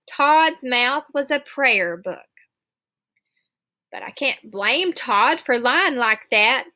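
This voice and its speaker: A woman reading a children's novel aloud as an expressive storybook narrator. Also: high-pitched.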